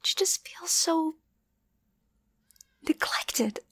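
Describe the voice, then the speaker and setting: A woman voicing an obsessive, lovesick young yandere best friend in an ASMR roleplay, halting and intimate, often slipping into a whisper. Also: extremely high pitch, animated, fairly bright tone, no echo, full character voice. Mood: agitated, jealous. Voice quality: breathy, often dropping to a whisper. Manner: halting, intimate, wavering between tender and agitated. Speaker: voice actor.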